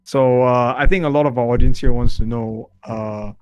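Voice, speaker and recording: A man's voice: mid-range voice, steady tone, normal speed, a little mellow, clear, resonant tone, no room sound. A man bantering casually on a podcast in a playful, joking way. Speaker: podcast co-founder and guest.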